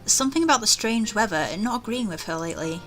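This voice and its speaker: A woman's voice: mid-range voice, lively intonation, fast talker, fairly bright tone, poor audio. A woman gamer reading visual novel narration aloud, with casual commentary. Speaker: gaming video creator. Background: faint music.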